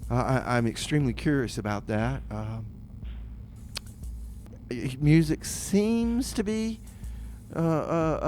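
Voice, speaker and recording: A man hosting a community radio music show with casual, rambling, tongue-in-cheek chat, often halting and repeating his words. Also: on the high side, darkish tone, slight background noise.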